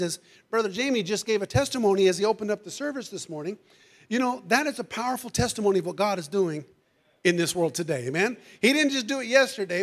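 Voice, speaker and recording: A preacher man with a notably high voice delivers a fervent church sermon. His voice is going hoarse, and he peppers his lines with "amen" and "hallelujah" while calling out to the congregation. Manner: calls out to the congregation. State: losing his voice. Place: church sanctuary.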